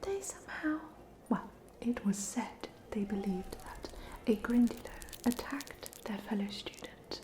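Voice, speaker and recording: A woman's voice: a little high, expressive, a little slow, few pauses. A woman playing a polite, chatty ghost of a drowned student in a whispered, hesitant ASMR roleplay. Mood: wry, resigned. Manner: whispered, hesitant, gently rambling.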